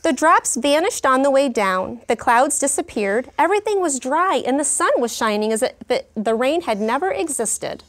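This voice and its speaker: A woman in her thirties, a teacher in a classroom, reading a story aloud with clear, expressive delivery and pausing to explain words. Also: high-pitched. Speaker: kindergarten teacher. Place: elementary classroom.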